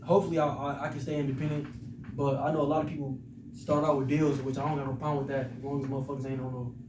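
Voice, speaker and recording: A man's voice: medium pitch, little pitch movement, very fast, far from the mic. A man in his twenties with an American accent, chatting casually as a guest, full of slang, cursing and tag questions. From United States, born in Detroit, raised in Arizona. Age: 20s. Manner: slangy, heavy cursing, frequent "you feel me" tags. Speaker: podcast guest, rapper. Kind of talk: casual chat. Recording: echoey room with clear background noise.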